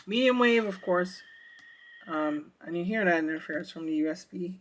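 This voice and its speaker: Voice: medium pitch, moderate pace, slight background noise, low-quality recording. A reviewer giving a casual product demonstration, thinking aloud in a hesitant way. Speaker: radio reviewer.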